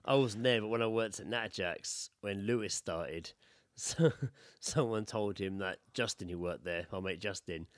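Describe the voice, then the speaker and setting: A man's voice: lowish voice, normal speed, no echo, clean studio sound. A British man co-hosting a podcast, bantering casually and reading out stories in a blunt, sweary, laddish way. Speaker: podcast co-host.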